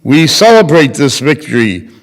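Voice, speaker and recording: A man's voice: fairly high pitch, sing-song, moderate pace. An older man giving a formal reading of a written statement at a synagogue podium. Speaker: readers theater performer. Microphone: podium microphone on a boom. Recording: reverberant hall.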